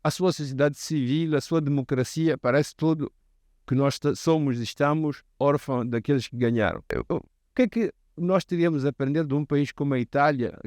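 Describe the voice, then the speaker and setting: A man's voice: mid-range voice, a little mellow, no echo, studio quality. A man with a Mozambican accent hosting a reflective interview, talking in a discursive, professorial way. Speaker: host.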